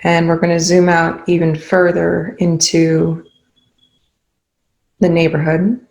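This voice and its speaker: A woman leading a guided meditation, speaking calmly and without hurry, leaving long pauses between phrases. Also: fairly low pitch, vocal fry, poor audio. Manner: calm, unhurried, with long pauses. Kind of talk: guided meditation, spoken softly to listeners.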